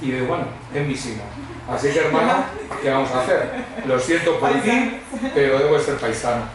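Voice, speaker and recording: A middle-aged or older man gives a personal testimony to a church congregation in a conversational, expressive, digressive way, telling humorous anecdotes. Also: mid-range voice, fairly quick. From Madrid, Spain. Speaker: guest preacher giving testimony. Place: church hall before a congregation. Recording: very echoey hall, distant mic, faint noise.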